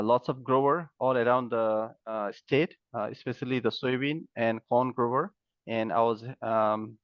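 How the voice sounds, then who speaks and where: A man in his thirties from Nepal, explaining his research as a guest in a podcast interview, halting and full of fillers. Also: lowish voice, dry recording. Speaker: guest, agronomy professor. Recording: dry, dull lo-fi sound.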